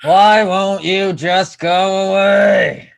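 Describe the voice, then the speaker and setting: A man's voice: high-pitched, level tone, very slow, creaky, full character voice. An actor playing a desperate, furious father in a screenplay table read, overwrought and pleading. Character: desperate, furious father fighting for his son.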